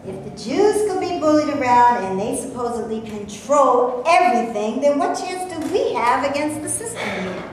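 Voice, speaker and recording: A woman's voice: sits high, lively intonation, moderate pace, hardly any pauses. A woman playing a skeptical, wry friend. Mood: doubtful. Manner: doubtful, wry. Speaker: character in a staged reading. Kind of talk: stage play reading. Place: theater before a live audience. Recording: distant voice in echoey room, faint noise.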